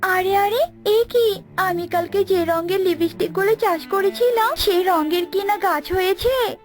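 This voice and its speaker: A woman's voice: very high voice, a little slow, pitched above the performer's own voice. A woman voicing a naive, earnest, pleading young wife in a cartoon. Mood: surprised. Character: naive young daughter-in-law, earnest, pleading, simple-hearted. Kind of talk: Bengali cartoon voice acting.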